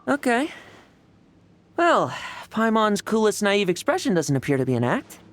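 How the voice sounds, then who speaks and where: A woman as a brash, very animated young fighter. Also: medium pitch, moderate pace, lightly acted. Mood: suspicious. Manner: blunt, cocky. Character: brash, wary young fighter, blunt and confident. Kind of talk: video game voice acting. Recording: music behind the voice.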